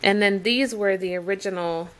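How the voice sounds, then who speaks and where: A woman's voice: mid-range voice, moderate pace, poor audio. Chatty woman gushing over baby clothes. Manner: chatty, gushing, frequent ums. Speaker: vlogger showing outfits. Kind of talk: casual show-and-tell.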